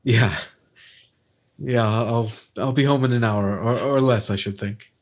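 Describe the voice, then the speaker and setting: A man playing a hesitant, gentle working man who has witnessed a crime, halting and low-key, in a 1950s-style radio drama. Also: lightly acted. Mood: halting, reassuring.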